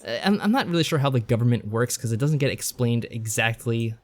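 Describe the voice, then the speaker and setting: A man's voice: medium pitch, expressive, a little fast. A man co-hosting a podcast in casual chat, giving a rambling, joking recap. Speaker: podcast co-host. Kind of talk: casual chat reviewing visual novels.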